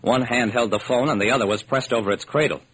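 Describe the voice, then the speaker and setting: An actor playing a brisk, determined hard-boiled male private detective, narrating and acting in a classic radio crime drama. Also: medium pitch, a bit bright, rough recording. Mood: wry, brisk. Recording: old, slightly rough radio recording.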